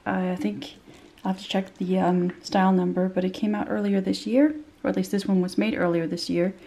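A woman's voice: mid-range voice, mellow. A young woman reviewing a handbag in a chatty, descriptive way, a bit nasal as she recovers from a cold, recorded in a small room. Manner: chatty and descriptive, many fillers. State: slightly nasal after a cold. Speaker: bag reviewer. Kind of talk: casual product review.

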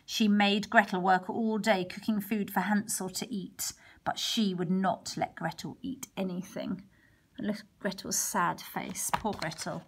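A woman reading a picture book aloud to young children, expressive, with chatty exclamations and questions along the way. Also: mid-range voice, a little slow, husky. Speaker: primary teacher reading a storybook to children. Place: indoors, picture book held over a wooden table.

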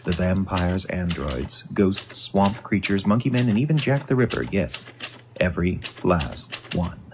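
An actor playing a world-weary retired newspaper reporter, narrating an audio drama in an earnest, wry first person. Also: male voice, low voice, lively intonation, a little slow, background noise. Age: older.

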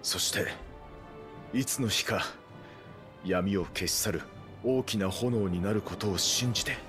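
A man's voice: on the low side, a little slow, over music, close to an everyday voice. Earnest, loyal knight acted by a man. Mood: solemn hope. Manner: formal, deferential, earnest. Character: loyal, earnest male knight leading resistance fighters. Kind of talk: Japanese video game voice acting.

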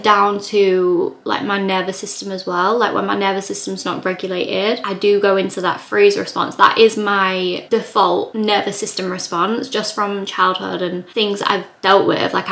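A woman in her twenties with a slightly croaky voice, chatting casually to camera from her bedroom in a rambling, filler-heavy way. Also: mid-range voice, fairly bright tone. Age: 20s. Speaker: vlogger. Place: bedroom indoors. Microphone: camera at desk distance.

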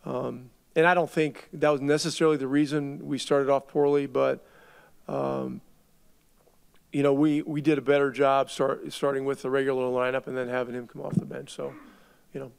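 A middle-aged man, a head coach, answering reporters at a postgame press conference in a measured way, often restarting his words as he thinks. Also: mid-range voice, flat delivery, creaky, smooth. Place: arena press room. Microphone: table mic.

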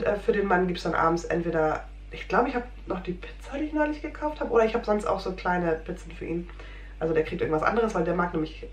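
A woman in her thirties chatting casually in her home kitchen, rambling with many fillers and sounding a little under the weather. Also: mid-range voice. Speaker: food vlogger. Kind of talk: casual chat. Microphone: fixed camera at desk distance. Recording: roomy kitchen with faint hum.

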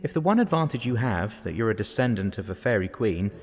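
Dry, sceptical royal adviser. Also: male voice, on the low side, lively intonation, fast, big-room sound, soft background music. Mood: disapproving. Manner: dry, formal, disapproving. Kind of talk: audio drama acting.

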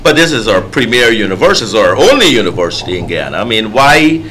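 Over faint background noise, a man with a fairly low voice hosts a panel talk show. He puts questions to his guests in a conversational style. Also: big pitch swings, fast, sharp tone, poor audio.

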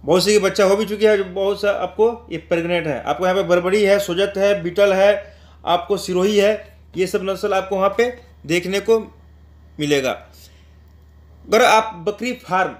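A man's voice: sits high, normal speed. A man giving an informal promotional voice-over as a channel host, explaining things repetitively and addressing viewers directly.

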